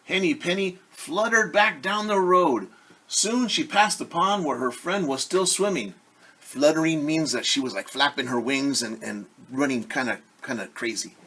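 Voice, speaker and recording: A man reading a children's story aloud as a teacher, expressive, with casual asides that explain things simply. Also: on the high side, normal speed, slightly rough.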